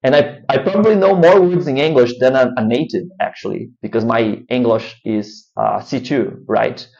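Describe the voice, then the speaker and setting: A man's voice: medium pitch, moderate pace, creaky, darkish tone, muffled recording. A man with a Brazilian Portuguese accent, a language-learning vlogger talking casually to camera, rambling and often adding "right?". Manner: rambling, frequent "right?" tag questions.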